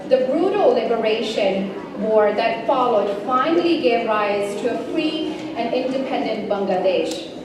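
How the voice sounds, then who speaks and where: A young woman giving an earnest, measured speech on behalf of a multicultural education association at a community celebration. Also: a little high. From Canada. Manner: earnest, measured delivery with occasional slips and restarts. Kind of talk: prepared public speech. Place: large event hall. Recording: echoey hall, distant mic, background noise.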